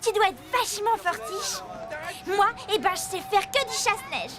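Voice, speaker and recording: A woman's voice: very high-pitched, sing-song, moderate pace, background noise. A woman voicing an eager little schoolchild in a cartoon. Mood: admiring. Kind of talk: animated cartoon acting. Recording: loud music behind the voice.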